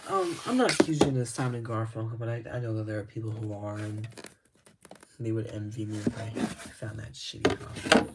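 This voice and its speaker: A man showing records from his collection in casual talk, laid-back and rambling, his voice slightly raspy, with frequent ums and restarts. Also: fairly low pitch, steady tone, brisk, heavy background noise.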